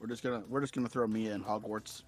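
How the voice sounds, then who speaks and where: A man's voice: lowish voice, steady tone, fast. A man hosting a livestream, casually relaying questions to the others on a group call. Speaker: livestream host. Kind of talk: casual chat, moderating questions. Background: music.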